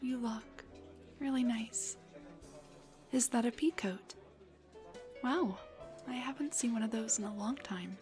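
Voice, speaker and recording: A woman's voice: fairly high pitch, slow pace, long pauses, darkish tone, faint music behind. A woman playing a shy, nervous dullahan on a blind date in an intimate audio roleplay, speaking in a flustered, hesitant, rambling way. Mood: shy, pleased. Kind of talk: intimate scripted audio roleplay addressed to the listener.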